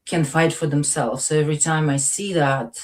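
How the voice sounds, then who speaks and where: Woman guest from Latvia. Low voice, unassuming, feels for her words in informal personal talk. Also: fairly even intonation, moderate pace, a little mellow. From Latvia, then part of the Soviet Union. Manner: modest, hesitant, searching for words. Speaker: interview guest. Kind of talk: casual personal conversation.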